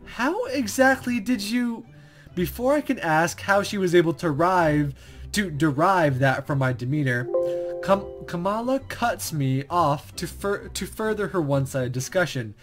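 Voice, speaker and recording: Young gaming host, mid-pitched, informal commentary mixed with read-aloud narration over faint music. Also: lively intonation, normal speed. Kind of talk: casual game playthrough, reading story text aloud.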